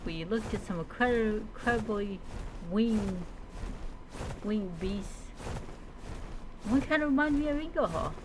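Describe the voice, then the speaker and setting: A woman's voice: mid-range voice, animated, a little slow, long pauses. A woman giving chatty, casual gameplay commentary with light roleplay, thinking aloud to her viewers. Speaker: game streamer. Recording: clearly noisy, background ambience.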